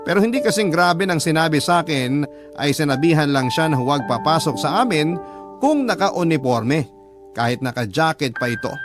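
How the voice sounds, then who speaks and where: A man playing a young fast-food worker, telling his own story earnestly and in measured fashion as scripted radio drama narration. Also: fairly high pitch, lightly acted. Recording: music bed behind the voice.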